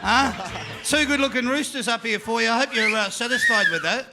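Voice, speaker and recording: A man with an Australian accent hosting a live panel show, energetic and jokey, calling out to a crowd in the venue. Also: extremely high pitch, brisk, vocal fry, music in the background.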